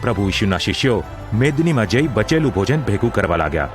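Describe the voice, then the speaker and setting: A man's voice: fairly low pitch, very animated, quick pace, over music. A man narrating a gospel audio drama in a formal, solemn storytelling style.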